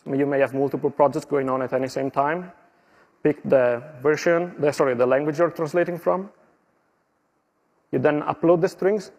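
A middle-aged man from Italy with an Italian accent, giving a steady tech conference walkthrough with small slips and fillers. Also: on the high side, normal speed, slightly rough. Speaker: conference presenter. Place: conference stage.

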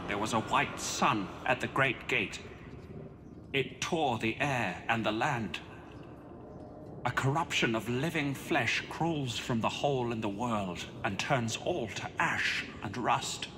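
Man as a grave alchemist amid loud noise. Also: medium pitch, natural voice. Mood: grim, ominous. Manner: grave, deliberate, formal. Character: remorseful male alchemist leaving a grave last record. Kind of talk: solemn dramatic game monologue.

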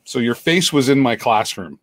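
A middle-aged man co-hosting a casual video call, talking with animated gestures and frequent restarts. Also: medium pitch, moderate pace, dry recording, studio quality. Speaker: co-host and teacher. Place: home room with framed pictures. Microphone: webcam mic on a video call.

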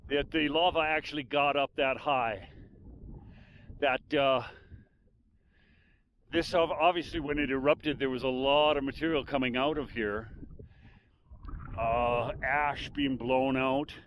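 An older man narrating a hike outdoors on a windy volcanic ridge, calmly explaining the landmarks around him. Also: medium pitch, poor audio. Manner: unhurried, explanatory, pointing out landmarks. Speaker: hiking vlogger. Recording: faint wind noise.

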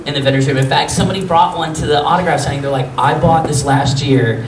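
A man with a high, boyish voice telling lively, jokey stories at a convention panel, seated at a table mic. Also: fast, crowd noise. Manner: animated, jokey storytelling with bursts of laughter. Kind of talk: casual panel talk answering fan questions. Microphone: table mic on stand. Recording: roomy hall audio from a far camera, noisy.

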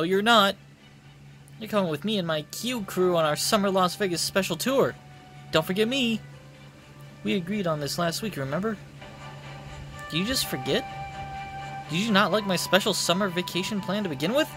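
A man's voice: sits high, moderate pace, pauses often, over music, lightly acted, low-quality recording. A man voicing a bossy, indignant young warrior queen from a video game, with emphatic, theatrical delivery. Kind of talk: video game dialogue read aloud.

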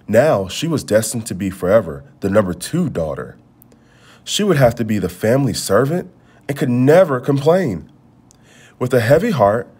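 A man reading a children's storybook aloud, with measured, expressive phrasing. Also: on the low side, husky. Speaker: storybook reader. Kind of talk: read-aloud storybook narration.

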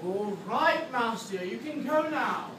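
A performer acting out a hungry, gullible tiger in a children's readers theatre show, with drawn-out, exaggerated delivery. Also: medium pitch, lively intonation. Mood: eager, wheedling. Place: classroom, indoors. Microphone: distant camera. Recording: echoey classroom from far, noisy.

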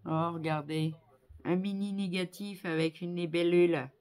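A woman in her fifties chatting casually to viewers as a craft vlogger, effusive and appreciative, exclaiming often and repeating words haltingly, recorded on a phone indoors at home. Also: lowish voice, fairly even intonation, a little mellow. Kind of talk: casual unboxing chat to viewers. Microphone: phone on a tripod at arm's length.

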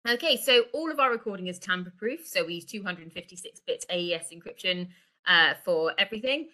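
A middle-aged woman presents a product in a conversational, explanatory way on a video call. Her voice sits a little low for a woman but has a bright, forward edge. The delivery is informal, like an online sales walkthrough. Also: lively intonation, fairly quick. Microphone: video call microphone.

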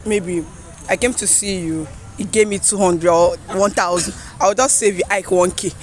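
A woman's voice: mid-range voice, moderate pace. A woman in her twenties with a Nigerian accent, chatting candidly as a guest in a street interview. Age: 20s. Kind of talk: candid casual chat. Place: outdoors on a park path.

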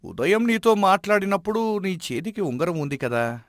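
Wise village elder for a moral cartoon, played by a man: slightly high, very animated pitch, measured counsel, dry studio sound. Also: dry recording. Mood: friendly inquiring. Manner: measured, advising, admonishing. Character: wise, respected village elder who settles disputes. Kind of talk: animated moral story acting.

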